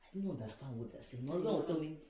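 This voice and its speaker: A woman's voice: sits low, animated, racing, warm tone. Woman acting out anecdotes. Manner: acts out quoted exchanges, laughs. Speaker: podcast co-host. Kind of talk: casual chat. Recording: roomy echo, mic at a distance.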